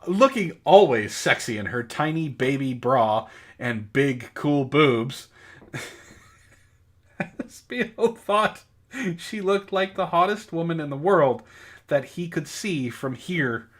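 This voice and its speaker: A man's voice: on the high side, lively intonation, slow pace. A man co-hosting a comedic book club, reading amateur fiction aloud in a mock-serious way with joking asides. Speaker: podcast co-host and story reader.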